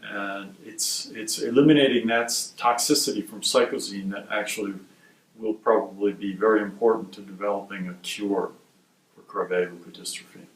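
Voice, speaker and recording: A man from Wisconsin who directs a research institute, giving measured, explanatory interview answers. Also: lowish voice, fairly even intonation. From Wisconsin, USA. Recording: roomy sound from a distant mic.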